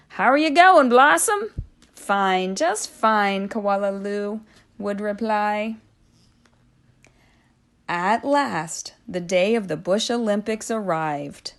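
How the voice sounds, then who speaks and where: Slow-paced woman reading a children's story. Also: medium pitch. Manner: deliberate, drawn-out phrasing with dramatic hushes and swells. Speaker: storybook reader. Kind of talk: expressive children's read-aloud.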